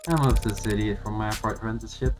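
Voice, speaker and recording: A man's voice: lowish voice, steady tone, quick pace, close to an everyday voice. A man voicing a guarded, sardonic lawyer in a game, rambling drily through old memories. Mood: wry reminiscing. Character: wry, cynical, guarded lawyer telling old stories. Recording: music behind the voice.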